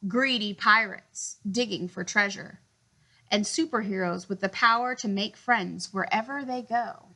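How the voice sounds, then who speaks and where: A woman reads a picture book aloud for children. Her voice sits fairly high and is notably bright and forward. She tells the story expressively, with deliberate phrasing. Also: low-quality recording.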